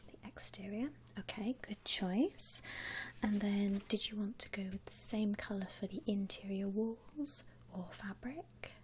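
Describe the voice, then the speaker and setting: Slow, whispering woman in ASMR roleplay. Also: mid-range voice, slight background noise. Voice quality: soft, breathy whisper. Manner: polite, reassuring shop assistant patter. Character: courteous, obliging female coffin saleswoman.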